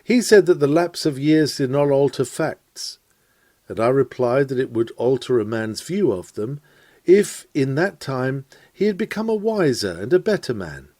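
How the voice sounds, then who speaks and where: A man reading an old-fashioned sermon lecture aloud in a measured, rhetorical way with emphatic phrasing. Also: mid-range voice, lively intonation, darkish tone, no room sound. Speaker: reader of a recorded sermon lecture. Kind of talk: scripted read-aloud of a Victorian lecture.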